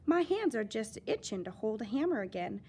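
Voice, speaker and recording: Woman reader doing a strong, fearless railroad worker from a storybook; confident, unfussy, slightly high. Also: sing-song, a little fast, mellow, slight background noise. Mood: determined. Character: big strong brave male railroad worker.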